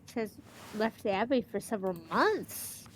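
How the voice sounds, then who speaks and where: A woman's voice: on the high side, a little slow. A woman streaming a game with a stuffy nose from allergies, chatting casually and reading the game's lines aloud. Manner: excitable, reads game text aloud. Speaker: let's play streamer.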